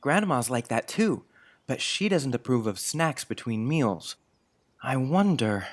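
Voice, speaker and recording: A woman's voice: a bass voice, very animated, unhurried, heavily acted. A woman playing a polite, thoughtful young boy in an audiobook drama, careful, frank and earnest. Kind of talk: scripted audiobook drama acting.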